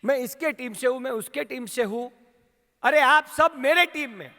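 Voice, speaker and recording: A man with an Indian accent giving a rousing motivational speech to his company's sales team, asking the crowd questions and building to shouts. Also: extremely high pitch, moderate pace, slight background noise. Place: large hall at a training event. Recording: long hall reverb.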